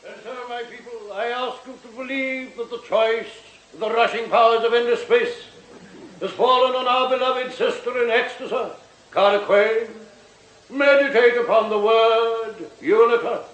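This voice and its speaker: A man's voice: very high-pitched, fairly even intonation, a bit bright. An actor in a radio drama playing a pompous, unctuous male cult priest who speaks like a solemn preacher. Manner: slow, incantatory, preacherly. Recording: echoey temple with music behind.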